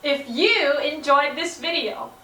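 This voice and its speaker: A woman's voice: sits high, takes it slowly, pushed and bright, far from the mic. A woman hosting a children's read-aloud channel, giving scripted lines to viewers. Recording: roomy echo, away from the mic.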